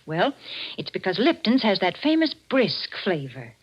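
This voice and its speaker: A woman announcer giving a chatty, folksy, emphatic 1940s radio sales pitch. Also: sing-song, unhurried, slightly rough. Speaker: commercial announcer and hostess. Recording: muffled vintage radio recording.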